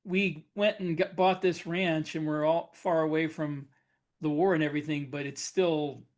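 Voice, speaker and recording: Author co-host, chatty male storyteller, slightly high voice. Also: fairly even intonation, normal speed. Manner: chatty storyteller, laughs easily. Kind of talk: casual panel discussion.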